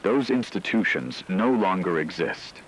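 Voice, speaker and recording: A man playing a grave radio news broadcaster, reading a scripted bulletin in a solemn, measured and formal way. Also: low-pitched, expressive, husky, exaggerated voice, poor audio. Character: grave male radio broadcaster reporting societal collapse.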